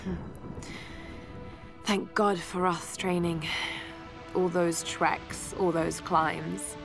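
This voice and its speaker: A young British archaeologist stranded and anxious but determined, in a video game audio diary. Her low voice is creaky, hesitant and inward. Music sits clearly beneath it. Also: level tone, drawn-out words, full character voice. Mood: anxious, shaken.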